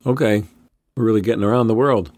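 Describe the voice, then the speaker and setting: A man's voice: lowish voice, steady tone, darkish tone. A man co-hosting a casual podcast, mostly reacting to his partner with short comments and jokey asides. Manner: chatty, quick backchannel reactions and asides. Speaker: podcast co-host.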